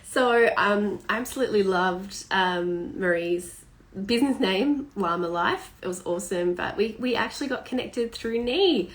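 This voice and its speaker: A woman hosts a live video call and chats brightly and freely, dropping plenty of "um"s as she goes. Her voice sits at an ordinary middle pitch for a woman. She sounds like she's speaking into a laptop or webcam mic. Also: normal speed. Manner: chatty and enthusiastic, many um fillers. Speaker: host. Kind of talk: live-streamed video interview.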